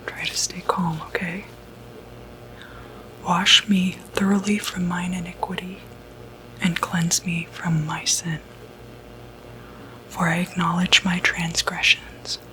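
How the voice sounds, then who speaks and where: A woman whispering an ASMR roleplay as a sympathetic, non-judgmental doctor, speaking gently with reassuring, hesitant pauses. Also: on the low side, a little hiss or hum, rough recording. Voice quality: breathy, unvoiced whisper. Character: sympathetic, non-judgmental doctor who treats demonic possession.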